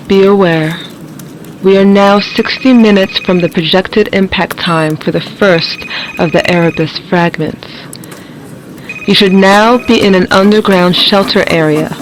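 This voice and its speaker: An actor playing a grave, stern announcer giving emergency instructions in an audio drama. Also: male voice, high-pitched, a little hiss or hum, poor audio.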